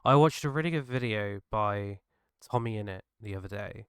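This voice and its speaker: A British man hosting his own podcast, talking earnestly and haltingly, his voice gravelly from a cold. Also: lowish voice, dry recording, studio quality. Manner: earnest, halting, restarts mid-thought. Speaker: podcast host. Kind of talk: solo reflective podcast monologue.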